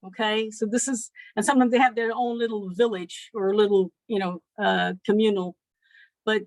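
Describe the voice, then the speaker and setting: Woman lecturer from Afghanistan, mid pitch, measured, frequent ums, dry close sound. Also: steady tone, dry recording, poor audio. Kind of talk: educational presentation.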